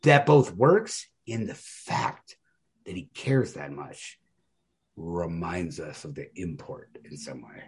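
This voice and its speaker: A man with a rough, fry-laden voice talks through literature as a podcast co-host. His delivery is animated in pitch and earnest, casual and slangy as he presses each point. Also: slow, slight background noise. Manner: earnest, emphatic, informal slangy talk.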